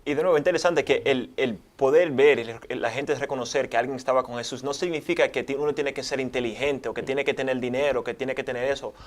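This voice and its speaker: A man's voice: mid-range voice, fairly even intonation, fast talker, hardly any pauses. A man with a New York accent, a native English speaker, reading Bible verses aloud in Spanish and adding short comments in a study discussion. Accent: American English (New York). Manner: reads scripture aloud, short agreeing replies. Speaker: co-host, scripture reader.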